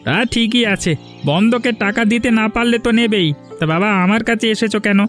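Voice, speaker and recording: A man's voice: sits high, normal speed, fairly bright tone, soft background music. A man voicing a self-important village landlord in a moral story cartoon, pompous and full of questions. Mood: skeptical, questioning. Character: self-important male village landlord, grudging but obliging.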